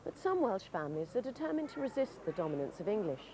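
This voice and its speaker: A woman giving measured, formal, scripted narration for a documentary. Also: medium pitch, sing-song, crowd noise.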